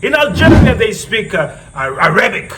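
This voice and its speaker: A man performing as a live storyteller and riddle host, theatrical, repeating phrases and pausing for effect. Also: high-pitched, very animated, background noise. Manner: theatrical, repeats phrases, pauses before answers. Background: music.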